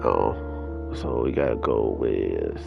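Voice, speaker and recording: A congested man talks his way through a game stream in a slow, groggy drawl and swears now and then. The audio is dull and lo-fi, with game music running loudly underneath. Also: a bass voice, lively intonation, gravelly. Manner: groggy, grumbling, swears casually. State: stuffy nose. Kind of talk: casual live-stream chat.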